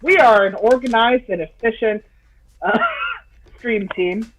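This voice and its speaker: A man's voice: very high voice, takes it slowly, fairly bright tone, a little hiss or hum, rough recording. A man in his twenties, a native Scots speaker, chatting casually and jokily as co-host of a group livestream on a video call.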